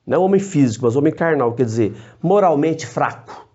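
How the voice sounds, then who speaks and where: Brief: male priest, fairly high but mellow voice, patient teacher, dull lo-fi sound. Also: expressive. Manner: measured, explanatory, short phrases with frequent tag questions. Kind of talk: theology teaching to camera. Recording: dull lo-fi recording.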